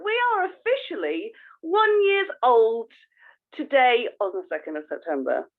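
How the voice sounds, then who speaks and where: A woman co-hosting a podcast in casual chat, playful and expressive in the way she talks. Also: fairly high pitch, measured pace. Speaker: podcast co-host. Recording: thin phone-like call audio.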